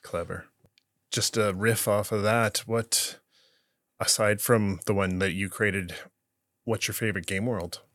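A man hosting a podcast, asking casual questions in a relaxed, encouraging way. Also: on the low side, unhurried, no echo, studio quality. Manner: relaxed, encouraging, short reactions. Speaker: podcast host.

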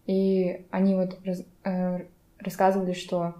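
A woman's voice: on the low side, completely flat delivery, a little slow, mellow. A young adult woman chatting casually as a podcast co-host, sometimes mixing English words into her speech. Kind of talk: casual conversation. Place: room in a home. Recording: roomy home recording.

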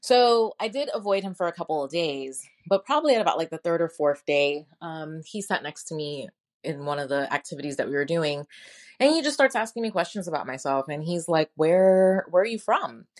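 A woman's voice: deep voice, lively intonation, a little fast. A woman with a New York accent, likely in her thirties, hosting a podcast and telling her own story in a candid, chatty way with lots of fillers and self-mocking laughs. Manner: candid and conversational, filler-heavy, laughs at herself. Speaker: podcast host.

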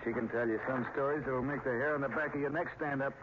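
A stubborn small-town sheriff as a male actor plays him in an old radio drama. He is grim and plainspoken, with a folksy turn and a middling pitch. The recording is dull and thick with noise. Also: steady tone, quick pace, close to an everyday voice. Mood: grim, determined.

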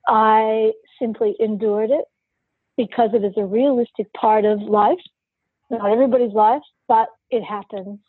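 Woman, podcast guest, candid personal storytelling, outspoken, husky, dry close sound. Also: fairly high pitch, dry recording, narrow, tinny audio.

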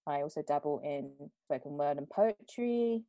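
Young british woman podcasting on a video call, lowish voice, dry close sound, laughs a lot. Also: expressive, moderate pace, warm tone, no echo. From Britain. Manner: chatty, laughs often, acts out quoted lines. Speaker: podcast host. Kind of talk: casual podcast chat over a video call.

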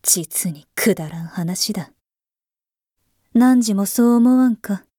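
A weary, brooding emperor, voiced by a woman, muses in a slow, half-whispered, husky tone. The voice is dark and mellow at a middle pitch, with a dry, close sound and no room around it. The old-fashioned, imperious phrasing carries quiet disdain and tiredness. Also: animated, no room sound. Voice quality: archaic, imperious speech. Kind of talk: voice drama monologue.

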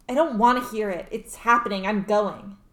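A woman's voice: medium pitch, fairly even intonation, normal speed, close to an everyday voice. A woman playing an earnest, perfectionist teenage girl in an amateur radio play. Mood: defiant, hurt. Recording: roomy, echoing room.

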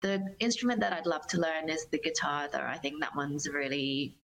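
A woman giving considered, measured answers in a conversational interview as a singer-songwriter guest. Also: sits low, steady tone, gravelly, a bit bright, rough recording. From Australia.

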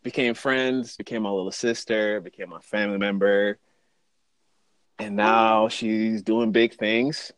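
A man's voice: lowish voice, steady tone, measured pace, clean tone, dry recording. A young man hosting a casual podcast, laid-back and joking, with slangy, easygoing talk. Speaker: podcast host.

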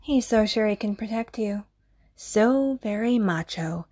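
A woman's voice: mid-range voice, lively intonation, measured pace, dark tone, breathy edge. A woman voicing an obsessive, possessive stalker who kills for love, speaking intimately and often in a menacing whisper in an audio roleplay.

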